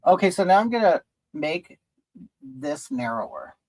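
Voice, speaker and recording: A man's voice: fairly high pitch, big pitch swings, a little slow, a little mellow, low-quality recording. Rambling man chatting while doing makeup. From rural California. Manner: rambling and hesitant, many restarts, reading and answering chat. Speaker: livestream host. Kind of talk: casual chat with viewers while doing makeup.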